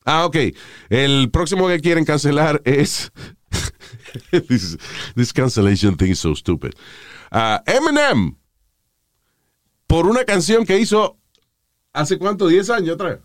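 A man's voice: fairly high pitch, big pitch swings, slow, pauses often, no echo. Rambling joking male host, very dry. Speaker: show host. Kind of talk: casual studio banter.

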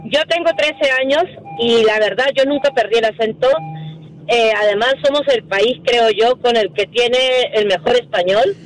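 A woman's voice: on the high side, normal speed, rough recording. A Peruvian woman calling into a radio show, speaking proudly and assertively. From Peru. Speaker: caller.

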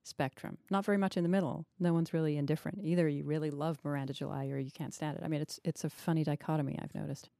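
A woman hosting a literary podcast, speaking in a measured, articulate and analytical way. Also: deep voice, steady tone, creaky, dark tone, no echo, studio quality. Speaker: podcast host, interviewer. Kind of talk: literary interview and podcast narration.

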